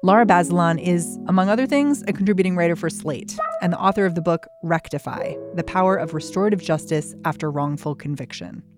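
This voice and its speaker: A woman hosting a news podcast, narrating a scripted segment in a brisk, clear storytelling manner. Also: lowish voice, over music. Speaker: podcast host.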